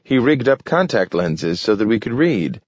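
A man voicing a gentle, idealistic male dog who can talk, pleading in a raised, eager voice. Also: lowish voice, big pitch swings, normal speed, no room sound. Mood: earnest. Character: earnest, gentle young male talking dog. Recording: dull, dry recording.

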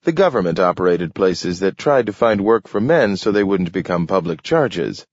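A man doing a smooth, lecturing official. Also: deep voice, big pitch swings, moderate pace, no echo, exaggerated voice. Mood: assured. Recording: dull lo-fi recording.

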